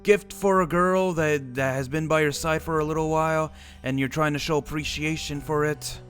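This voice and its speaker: A man's voice: a little high, steady tone, moderate pace, fairly bright tone, faint music behind. A young man roleplaying an old immortal wizard, rambling and restarting his sentences. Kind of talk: in-character roleplay chat.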